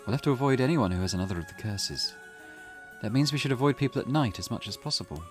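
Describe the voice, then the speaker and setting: Casting: male reader as sensible, protective teen schoolgirl in a visual novel, earnest, measured, expressive pitch, music underneath. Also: lightly acted. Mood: thoughtful warning. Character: level-headed, cautious teenage schoolgirl, earnest and protective. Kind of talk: character voice reading a visual novel. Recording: music in the background.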